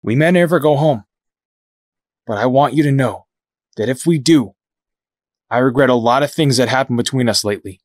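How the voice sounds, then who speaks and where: A man's voice: medium pitch, moderate pace, long pauses, no echo, lightly acted. A mid-range male voice, dry and close, gives this young fighter his sincerity as an actor plays him. He is earnest and remorseful, in audio drama style.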